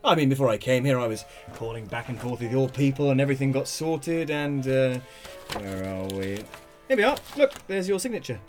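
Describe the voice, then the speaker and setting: Slick, friendly entrepreneur male actor, eager to please, animated mid-pitched voice, audio sitcom. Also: brisk, soft background music, lightly acted. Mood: polite, reassuring. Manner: breezy, polished, charming salesman. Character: smooth, affable, eager young funeral-home entrepreneur.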